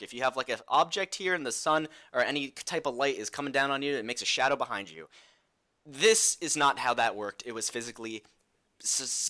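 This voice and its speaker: Bright-voiced young man telling a story. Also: mid-range voice. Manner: animated retelling, acts out dialogue. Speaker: storytelling video creator. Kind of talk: casual personal storytelling.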